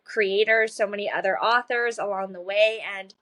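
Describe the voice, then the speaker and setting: A woman in her thirties chats as a podcast guest, earnest and upbeat. She laughs easily and fills her pauses with ums and uhs as she talks. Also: mid-range voice, steady tone. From China. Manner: warm, earnest, laughs often, many fillers. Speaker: guest, children's book author. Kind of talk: casual interview chat.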